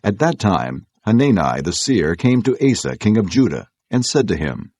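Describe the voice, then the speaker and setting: Male scripture narrator: deep, measured and formal, a touch husky, studio-dry. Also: sing-song, a little mellow, no echo. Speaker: audiobook narrator.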